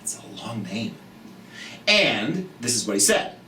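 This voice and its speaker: A children's storytime host reading a picture book aloud, slowly and expressively, with chatty asides to young listeners. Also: mid-range voice. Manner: slow, expressive, speaking directly to children. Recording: roomy, distant mic, background noise.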